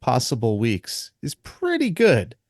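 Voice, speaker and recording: A man in casual podcast talk, mid-pitched and chuckling as he goes. He rambles and restarts his sentences. The sound is dry and close, with no room around it. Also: huge pitch swings, slow pace, a little mellow, no echo. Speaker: dungeon master and podcast co-host.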